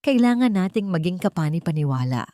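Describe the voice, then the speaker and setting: Female narrator as wealthy young male lead in a romance audiobook: earnest, emphatic, lifted pitch, lively swings. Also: brisk, no room sound, studio quality. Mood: soft, apologetic. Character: wealthy young man, intense romantic story hero.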